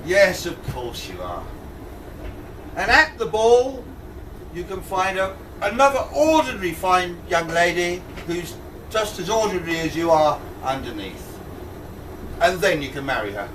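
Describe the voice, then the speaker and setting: A man's voice: high voice, big pitch swings, unhurried. A man playing a forgetful, pompous old king in an amateur pantomime, muddled, hesitant and blustering. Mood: bossy, pompous. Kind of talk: amateur pantomime stage acting. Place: amateur show in a pub, with audience. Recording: distant mic in noisy hall with audience.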